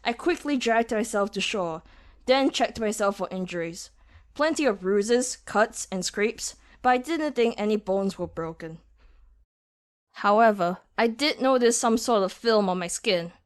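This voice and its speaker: A woman's voice: medium pitch, animated, unhurried, smooth. A young woman reading a story aloud as an amateur voice actor, often laughing and restarting her lines. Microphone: home microphone that cuts out.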